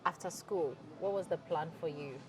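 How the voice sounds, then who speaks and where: A woman with a Ghanaian accent interviewing a guest at a restaurant table, chatty and quick to laugh. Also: low voice, over music, poor audio. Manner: chatty, laughs easily, stumbles over questions. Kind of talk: casual interview.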